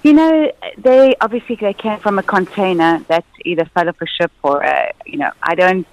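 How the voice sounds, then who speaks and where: A woman guest on a radio show, owner of a surf club, energetic and rambling. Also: lowish voice, normal speed, low-quality recording. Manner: energetic, community-minded, rambling.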